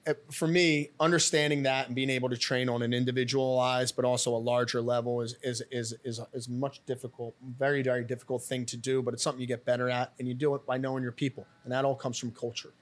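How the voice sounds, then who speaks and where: A middle-aged man with a Philadelphia accent talks shop as a podcast guest. He is blunt and rambling, looping back on himself with restarts, his voice at an ordinary middle pitch. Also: flat delivery, fast talker, few pauses. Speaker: real estate team leader, podcast guest. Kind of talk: casual interview chat.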